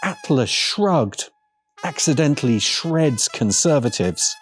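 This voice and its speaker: Animated, sardonic man over music. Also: mid-range voice, slow. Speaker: video essayist. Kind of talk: scripted opinionated commentary.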